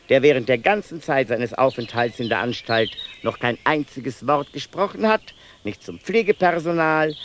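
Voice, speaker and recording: A man voicing a male asylum inmate who tells his tale in a measured, looping, repetitive way, in a mid-pitched voice. Birds and a clear hiss of outdoor noise sit behind him in this radio play. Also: close to an everyday voice. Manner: measured, circling, repetitive telling. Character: male asylum inmate narrating his family's strange deaths. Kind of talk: 1990s radio play acting.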